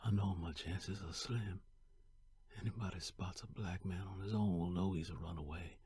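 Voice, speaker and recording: A male voice actor playing a young enslaved man fleeing to freedom, weary but determined, in a husky, breathy, heartfelt first-person historical narration. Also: low voice, steady tone, normal speed, a little mellow. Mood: hushed, wary. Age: young adult.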